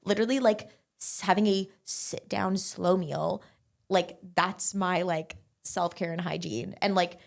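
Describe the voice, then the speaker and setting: A young woman, a barre instructor, talking casually as an interview guest, chatty and quick with lots of filler words. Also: fairly low pitch, fairly even intonation, gravelly. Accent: English-speaking, non-French.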